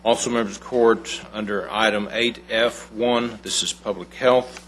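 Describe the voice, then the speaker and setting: A man's voice: on the low side, fairly even intonation, normal speed, a bit bright, slight background noise, poor audio. A man giving agenda announcements to a county court in a plain, businesslike way. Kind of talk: agenda announcements to a public meeting.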